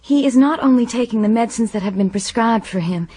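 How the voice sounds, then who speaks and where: Anxious, husky-voiced wife. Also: female voice, on the high side, quick pace, warm tone. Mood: anxious, confiding. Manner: earnest, anxious. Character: anxious, secretive wife confiding in doctor. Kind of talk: radio drama acting.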